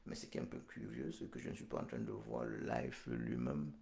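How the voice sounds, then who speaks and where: A middle-aged man, recovering from a hospital stay, hosting a live-stream political address in a solemn, slow, repetitive way full of fillers. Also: rumbling low, level tone, gravelly, darkish tone. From Cameroon. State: convalescent after hospital stay. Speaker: political livestream host.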